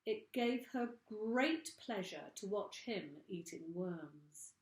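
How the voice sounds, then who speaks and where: Slow, expressive woman reading a story. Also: mid-range voice. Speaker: teacher. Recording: echoey room.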